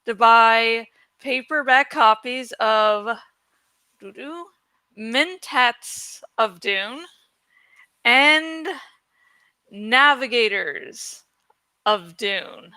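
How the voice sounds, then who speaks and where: A woman's voice: a little high, drawn-out words, pauses often, bright, forceful tone, clear, resonant tone, no room sound. A woman hosting a book reading channel, talking in a casual, rambling chat style.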